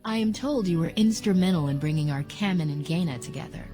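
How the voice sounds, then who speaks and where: A woman playing a wise, composed elf apprentice in a fantasy video game, speaking in a calm, reflective, formal way. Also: lowish voice, brisk, faint music behind, natural voice. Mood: gently reproving. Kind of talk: fantasy video game acting, measured storytelling.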